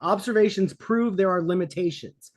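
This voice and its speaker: A man debating, making insistent, measured rebuttals. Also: high voice. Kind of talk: heated debate.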